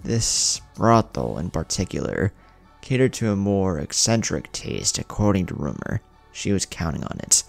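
A man with a low, creaky voice reads a scripted story as a dramatic narrator. His pitch moves up and down in an animated way, with soft music underneath. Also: moderate pace.

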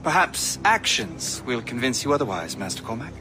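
A man performs an earnest, courteous colonel of a colonial army for a game cutscene. His speech is formal, measured and reassuring, in a mid-pitched voice over clearly audible music. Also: sing-song, lightly acted. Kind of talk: video game cutscene acting.

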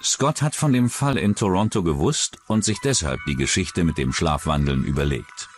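A man delivers scripted true-crime narration in a low voice. His intonation moves a lot as he tells the story with measured drama. Music plays clearly underneath. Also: animated. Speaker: documentary narrator. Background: music behind the voice.

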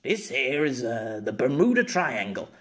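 A man's voice: medium pitch, big pitch swings, unhurried. A man playing an awkward, good-natured male storyteller explaining himself in an audiobook, hesitant and rambling.

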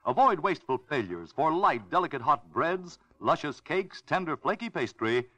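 A man's voice: fairly high pitch, very animated, normal speed, bright, forceful tone, dry recording. A man announcing a 1940s radio commercial from scripted copy. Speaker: advertising announcer. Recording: old 1940s radio broadcast.

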